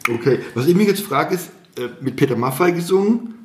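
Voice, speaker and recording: A man's voice: a little high, expressive, brisk, darkish tone, slight background noise. Male interviewer in an echoey room. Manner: friendly, probing questions with tag "ne?". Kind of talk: casual interview.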